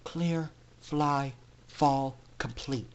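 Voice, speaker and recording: A man's voice: medium pitch, very slow, dull audio, slight background noise, pitched below the performer's own voice. A man voicing a brave, desperate young female pegasus who leads others, urgent and commanding in a dramatic story reading. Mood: secretive, determined. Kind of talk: dramatic fan fiction reading.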